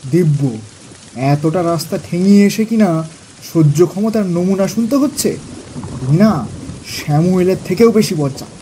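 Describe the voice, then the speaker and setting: A man's voice: sits high, lively intonation, normal speed, pauses often, mellow, slight background noise, rough recording. A man playing a chummy, grumbling office clerk who cajoles and exclaims in colloquial audio drama dialogue. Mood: pushy, indignant.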